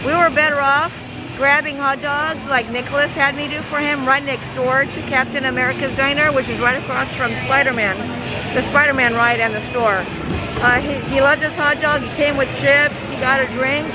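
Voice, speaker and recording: A woman travel vlogger, a bit hoarse from losing her voice, chatting step by step through a theme park visit. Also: high-pitched, fairly even intonation, moderate pace, poor audio. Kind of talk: casual vlog narration. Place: busy theme park, indoors and out. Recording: crowded park noise with music. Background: crowd chatter and music.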